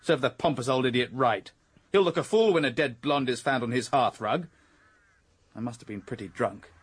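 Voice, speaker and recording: Actor as rattled, husky young Englishman. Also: medium pitch, moderate pace, natural voice. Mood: rueful confession. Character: Basil Blake, rude, rattled young Englishman. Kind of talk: radio drama acting.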